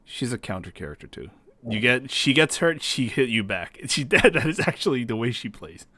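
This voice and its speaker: A man's voice: medium pitch, big pitch swings, normal speed, bright, forceful tone, dry recording. A man hosting a reaction video, explaining things in casual chat on a close mic. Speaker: host of a tier list reaction video.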